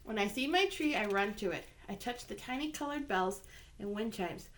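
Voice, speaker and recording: A woman reading a children's picture book aloud in an expressive way, lifting her voice for the boy's lines. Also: medium pitch, moderate pace, low-quality recording. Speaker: reader.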